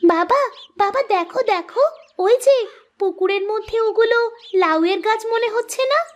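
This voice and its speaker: A woman's voice: very high voice, moderate pace. A woman voicing a sweet, earnest young schoolgirl in a cartoon story, with an eager, childlike way of talking. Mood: excited.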